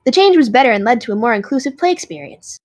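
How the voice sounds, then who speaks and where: High, breezy dragon card player voiced by a woman. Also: lively intonation, fast talker. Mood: matter-of-fact. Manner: chatty, matter-of-fact, playful. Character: breezy, nerdy card-playing dragon, cheerful and unbothered. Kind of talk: audio drama acting.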